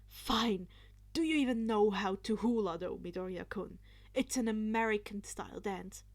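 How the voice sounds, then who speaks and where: A woman's voice: mid-range voice, moderate pace, natural voice. A stiff and studious teenage boy, acted by a woman reader, fretful and exasperated. He speaks firmly, as a character in a story reading.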